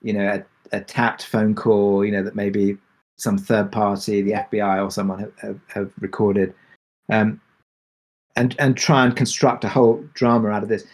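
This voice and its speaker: A man speaking reflectively as a creator on a Q&A panel, pausing and repeating words as he works through his thoughts. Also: fairly low pitch, level tone, a little mellow. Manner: thoughtful, halting, repeats words mid-sentence. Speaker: podcast creator and executive producer on a panel.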